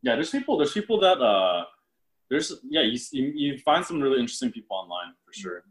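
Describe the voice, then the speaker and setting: A man's voice: mid-range voice, very animated, brisk, rough recording. Rambling young man in casual chat. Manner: rambling, many fillers and restarts.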